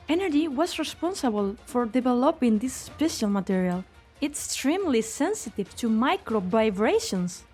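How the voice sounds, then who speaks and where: An amateur actress in a fan dub playing a loyal, spirited young researcher and spellcaster with an expressive, slightly sardonic way of explaining. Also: female voice, a little high, slightly rough. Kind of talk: amateur fan dub game drama acting.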